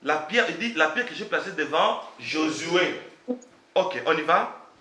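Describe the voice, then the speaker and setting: A man's voice: a little high, lively intonation, measured pace, roomy sound, over a noisy crowd. A man preaching a sermon as pastor, emphatic and full of rhetorical questions; French is not his first language.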